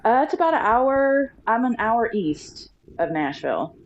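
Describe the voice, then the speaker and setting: A woman's voice: on the high side, sing-song, unhurried, slight background noise. A woman from the US Midwest, a guest on a podcast, chatting casually and laughing often. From Illinois, US Midwest. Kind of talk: casual interview. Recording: dull lo-fi audio.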